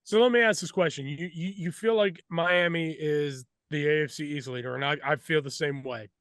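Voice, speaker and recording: A man with a slightly high voice hosts a sports talk show, asking questions conversationally. The recording is dry, with little sound of the room. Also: moderate pace, fairly bright tone, dry recording. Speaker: podcast host.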